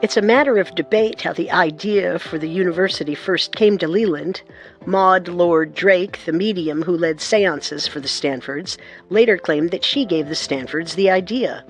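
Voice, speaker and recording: A narrator delivering measured, deliberate scripted documentary narration. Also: mid-range voice.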